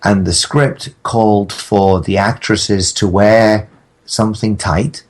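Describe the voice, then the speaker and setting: A man chatting casually as a podcast guest, telling stories in a halting, rambling way. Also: fairly low pitch, steady tone. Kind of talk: casual anecdotal chat.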